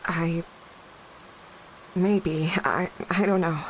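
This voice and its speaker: A woman acting a grieving, guilt-ridden young widowed mother in an audio drama, halting and emotionally raw. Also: on the low side, fairly even intonation, poor audio. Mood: hesitant, subdued. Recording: clear background hiss.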